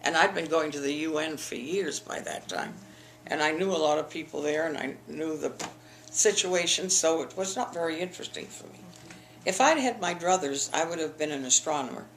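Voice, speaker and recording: A woman's voice: low voice, normal speed, slight background noise, poor audio. An older woman from the eastern United States, telling her school memories in an oral history interview, rambling with frequent restarts. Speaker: interviewee, alumna.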